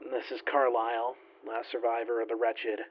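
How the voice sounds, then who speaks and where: A man playing a weary, doomed flight engineer, sardonic and halting, recording a log in a science fiction audio drama with a radio-style effect. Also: mid-range voice, level tone, lo-fi sound, slight background noise. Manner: halting, sardonic, swearing, resigned. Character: weary male spaceship flight engineer, doomed lone survivor.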